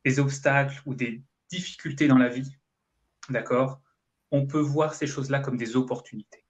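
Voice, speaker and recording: Man, thirties, mid-pitched voice, explanatory, conversational, video call interview. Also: steady tone, normal speed, muffled recording. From France. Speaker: guest, real estate investment coach. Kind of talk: conversational live interview answers. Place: indoor room, video call.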